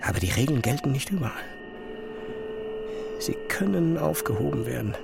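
An actor playing an obsessed, haunted physicist, thinking aloud in an intense, fragmented, brooding inner monologue for an audio drama. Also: male voice, medium pitch, animated, moderate pace, gravelly, over music. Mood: brooding, feverish.

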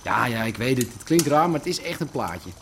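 A cheerful errand runner for the underworld. He talks quickly in a mid-range voice, radio drama style with faint noise behind. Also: medium pitch, big pitch swings, fairly bright tone. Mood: brisk, chatty. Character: brisk, cheerful male underworld errand man.

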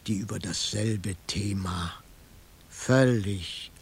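A man's voice: lowish voice, takes it slowly, vocal fry, slightly rough, heavily acted. An actor playing a wry detective narrating his case in a hardboiled radio drama, dry and ironic. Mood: wry amused narration.

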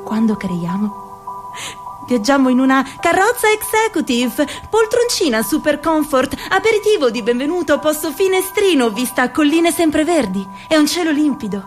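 A woman's voice: high voice, big pitch swings, normal speed. A woman as a dreaming young woman over music. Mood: urgent. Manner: rhythmic, incantatory wordplay. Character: restless young woman dreaming of her own startup. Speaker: narrator and lead actor. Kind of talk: poetic theatrical monologue. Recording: music playing behind.

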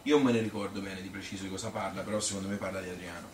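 A man's voice: deep voice, steady tone, voice pushed down, close to an everyday voice. Guy playing chatty old tuscan granny rambling thru her memories, stumbles over words, distant mic w/ room echo. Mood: rambling, offhand. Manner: colloquial, rambling, halting with repeated words. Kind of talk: theatrical monologue storytelling. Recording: roomy, noisy, distant stage recording.

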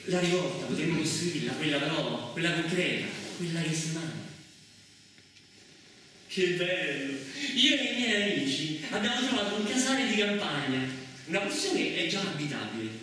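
Restless idealist played by a male stage actor, bright higher-pitched voice, rambling commune dreams, theatrical delivery in a reverberant hall. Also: very animated, a little hiss or hum. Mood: fervent, dreamy. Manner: repetitive, halting, rambling, swinging from murmurs to exclamations. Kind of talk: theatrical monologue with bursts of singing. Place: reverberant performance space, live before listeners. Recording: echoey hall, distant mic, music behind.

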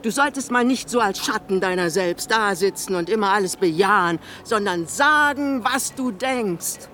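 Actress doing a bitter old mom in a radio play, nagging and sarcastic, faint rumble behind. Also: female voice, animated, normal speed, a bit bright, natural voice. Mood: scornful. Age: older. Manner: theatrical, reproachful, sarcastic. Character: sick, eccentric old mother, bitter, manipulative, scolding her son. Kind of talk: German radio play acting.

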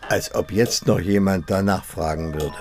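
An actor playing an elderly husband in a German radio drama, calm, resigned and tender, speaking in short plain sentences. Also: male voice, low voice, normal speed, background noise, close to an everyday voice. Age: older. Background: music.

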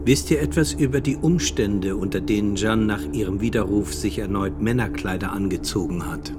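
A man's voice: fairly low pitch, moderate pace, music in the background, lightly acted. A man playing a formal male interrogator questioning a witness in a radio drama. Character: stern male interrogator at a retrial. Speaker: interrogating judge.

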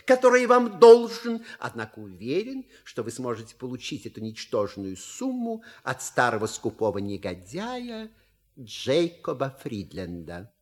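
An actor playing a suave, wry manservant with polite, measured, theatrical delivery in a stage comedy audio play. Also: male voice, mid-range voice, huge pitch swings. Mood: declaiming, wry. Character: suave, wry manservant reading out a scandalous letter.

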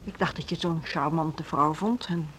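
An actress in a Dutch radio play playing a mild, conciliatory middle-aged school director, measured and husky, with a Netherlands accent. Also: female voice, deep voice, a little hiss or hum, close to an everyday voice. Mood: concerned. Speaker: radio drama actor.

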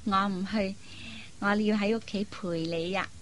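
A woman playing a kind, persuasive young elder sister in a Cantonese radio drama, coaxing and teasing in drawn-out phrases. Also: medium pitch, steady tone, slight background noise, natural voice. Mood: playful, fond.

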